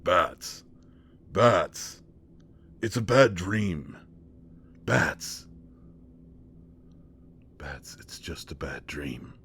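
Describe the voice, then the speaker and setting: A man's voice: low voice, very animated, very slow, pauses often, fairly bright tone, heavily acted. A man playing a caring, bashful boyfriend in an audio roleplay, earnest and stammering as he talks hesitantly to his partner. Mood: urgent, soothing. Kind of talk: audio roleplay acting, intimate one-sided dialogue. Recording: faint background noise.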